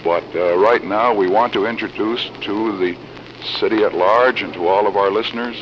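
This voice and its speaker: A man reporting live on 1940s radio in a formal, measured announcing manner, with a cold. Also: fairly low pitch. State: has a cold. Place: council chamber. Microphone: broadcast microphone. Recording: noisy, muffled 1940s radio broadcast recording.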